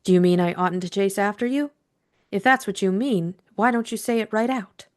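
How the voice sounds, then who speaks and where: A woman's voice: medium pitch, moderate pace, voice pushed up, close to an everyday voice. A woman voicing a jealous, nervous mistress in an audiobook detective story, pleading and complaining insistently. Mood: bitter, complaining.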